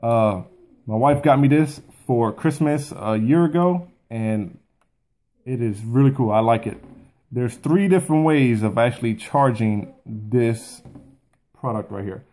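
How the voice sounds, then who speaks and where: A man's voice: mid-range voice, a little slow, mellow. Casual man reviewing a product. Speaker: YouTube product reviewer and channel host. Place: small furnished room at home, indoors.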